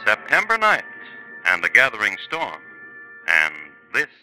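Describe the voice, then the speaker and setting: A man's voice: fairly low pitch, wildly swooping pitch, measured pace, sharp tone, poor audio. A man narrating a 1950s radio crime drama in a wry, theatrical storytelling manner. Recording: old radio broadcast with music.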